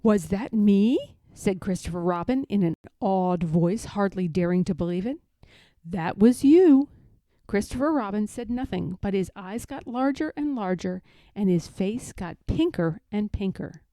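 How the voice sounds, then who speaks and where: A woman narrating a children's story aloud as an expressive storyteller. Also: medium pitch, normal speed, no echo.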